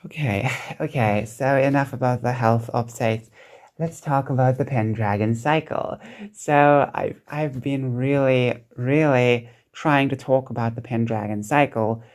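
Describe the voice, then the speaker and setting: A man chatting casually in a solo vlog, hesitant with lots of restarts and fillers, his throat sounding croaky. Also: medium pitch, darkish tone. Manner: hesitant, many restarts and ums, enthusiastic. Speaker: vlogger.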